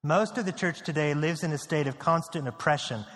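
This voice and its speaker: A male pastor from the United States preaching a sermon, earnest, repeating key lines and dropping low and quiet on grave points. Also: brisk, big-room sound. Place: church sanctuary.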